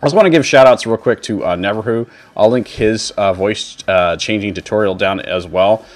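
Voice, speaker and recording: A man in his thirties with a fairly low voice hosts a casual streaming tutorial. He explains software steps informally, rambling a little as he goes. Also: moderate pace, faint music behind. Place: indoor home streaming setup.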